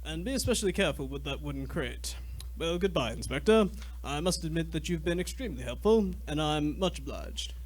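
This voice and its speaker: A well-to-do gentleman traveler played by a man in a radio mystery. His voice sits fairly high, and he is polite, formal and particular. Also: lively intonation, a little fast, poor audio. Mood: gracious, brisk.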